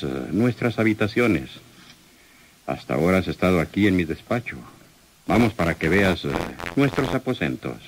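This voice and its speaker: A man's low voice carries this radio drama villain. He is a possessive crime boss, condescending and smooth-talking, who expects to be obeyed. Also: exaggerated voice. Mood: self-satisfied, courting. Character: menacing, possessive male crime boss, self-satisfied suitor. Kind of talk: old radio soap opera acting.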